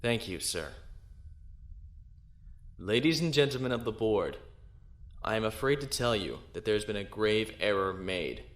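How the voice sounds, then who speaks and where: An actor playing an assured, defiant space-navy lieutenant who argues formally in a science fiction audio drama. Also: male voice, fairly low pitch, expressive, unhurried, long pauses. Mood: confident, insistent. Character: defiant young male space-navy lieutenant defending himself. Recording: roomy echo.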